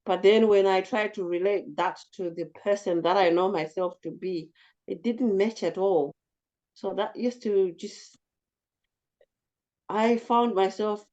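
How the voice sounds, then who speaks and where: A talkative woman with a low, commanding voice, leading a casual group discussion and speaking reflectively. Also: darkish tone, lo-fi sound. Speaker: group facilitator.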